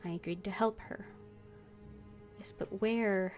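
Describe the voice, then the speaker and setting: A woman's voice: mid-range voice, fairly even intonation, slow, darkish tone. Woman streamer with game music behind her. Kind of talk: reading game text aloud while streaming. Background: video game music.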